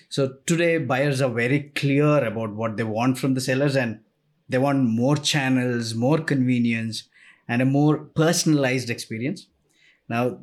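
Middle-aged guy cohost, mid pitch, calm steady explaining. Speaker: co-host, sales leader. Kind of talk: conversational interview.

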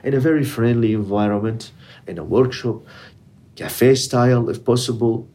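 Husky-voiced earnest man, Arabic native. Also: medium pitch, moderate pace, warm tone. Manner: earnest, speaks with non-native English grammar. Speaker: interviewee, community educator. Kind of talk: casual interview.

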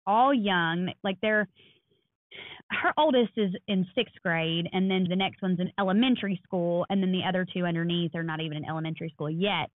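A woman in her thirties co-hosting a podcast, telling stories in a quick, animated way. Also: on the low side. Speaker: podcast co-host. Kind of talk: casual chat. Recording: dry, phone-like lo-fi sound.

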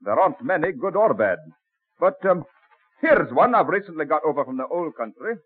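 An actor playing a friendly, measured colonial bookseller with a husky voice, in an old-time radio drama. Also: male voice, fairly high pitch, sing-song, dry recording. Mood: helpful, measured. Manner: affable, measured, bookish. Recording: tinny band-limited old radio recording.

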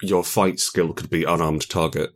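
Deep voiced guy walking players thru character creation, jokey and laughing easy. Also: steady tone, normal speed. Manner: explanatory, playful, laughs easily. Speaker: game designer guiding character creation. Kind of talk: casual tabletop chat.

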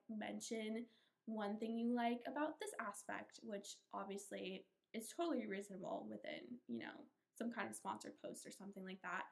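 A woman's voice: medium pitch, creaky. A young woman hosting a podcast in a casual chat, talking in a self-deprecating way and often laughing through her sentences. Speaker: podcast host.

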